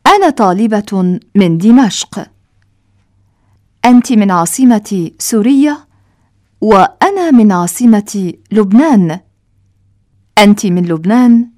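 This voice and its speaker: A woman reading a scripted language-lesson dialogue, enunciating each short line slowly and carefully for learners. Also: medium pitch, a little mellow, dry recording, studio quality.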